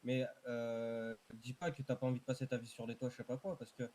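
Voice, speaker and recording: A man's voice: medium pitch, little pitch movement, a little fast, crowd noise. A man speaking casually and hesitantly as a server admin mediating in game voice chat, often repeating himself.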